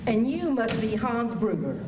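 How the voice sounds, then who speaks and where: A woman's voice: fairly high pitch, big pitch swings, a little slow, background noise. A woman playing a blunt, protective frontier woman in a staged radio western, outspoken and direct. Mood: scornful. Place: high school auditorium stage. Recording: echoey auditorium, distant mic.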